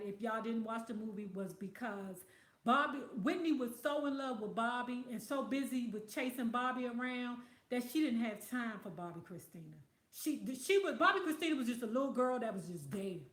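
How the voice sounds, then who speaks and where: A woman's voice: a little high, expressive, moderate pace, roomy sound. A woman hosting a casual livestream, talking bluntly with plenty of profanity and losing her voice. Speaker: livestream host reading viewer comments.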